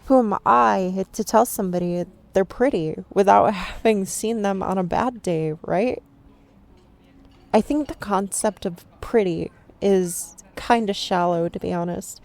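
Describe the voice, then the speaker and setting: A woman's voice: mid-range voice, a little mellow, lightly acted. A woman playing a shy, nervous young woman stranger at a cafe in an ASMR roleplay, rambling and second-guessing herself. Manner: rambling, self-conscious, overthinking.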